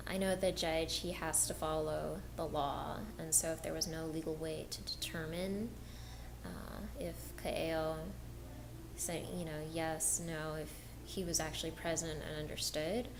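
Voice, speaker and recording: A woman interviewee from Hawaii giving measured, reflective answers. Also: deep voice, fairly even intonation. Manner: measured, reflective, hedges with "I think". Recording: background noise.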